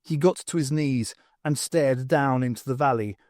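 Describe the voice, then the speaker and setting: A man's voice: medium pitch, normal speed, a little mellow, no echo, studio quality. A man reading scripted fiction aloud as an audiobook narrator.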